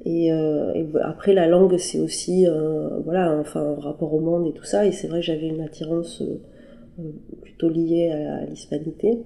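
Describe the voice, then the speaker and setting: A woman artist answering questions in a halting, conversational way, with many fillers and restarts. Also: low voice, fairly even intonation, vocal fry, dark tone. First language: French. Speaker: interviewed artist. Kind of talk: hesitant conversational interview. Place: her apartment.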